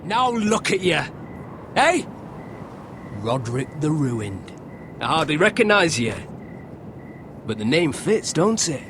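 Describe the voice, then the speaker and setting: High-voiced sneering young lordling. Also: male voice, sing-song, slow, long pauses. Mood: mocking. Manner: taunting, swaggering. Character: cocky young nobleman garrison commander, sneering bully. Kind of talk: dramatic video game acting. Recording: clear background noise.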